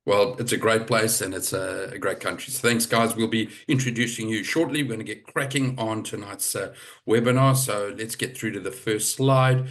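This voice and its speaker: A middle-aged man from Zimbabwe hosts a webinar over a video call, his voice sitting fairly low. He talks in a relaxed, chatty way, full of ums and restarts. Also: normal speed. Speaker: webinar host. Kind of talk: hosting a live property webinar.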